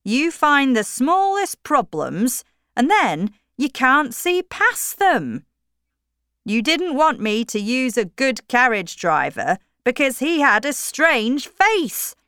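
Female reader voicing a headstrong storybook miller husband, insistent and slow. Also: high voice, sing-song, smooth, no echo, studio quality, pitched above the performer's own voice, heavily acted. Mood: scolding. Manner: forceful, emphatic, raised voice. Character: stern middle-aged country miller, stubborn and proud.

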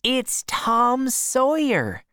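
A woman doing a high, gushing farm aunt. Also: wildly swooping pitch, very slow, a bit bright, smooth, dry recording, clean studio sound, exaggerated voice. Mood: scheming. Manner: gushing, chattering. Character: fussy, emotional middle-aged aunt on a farm.

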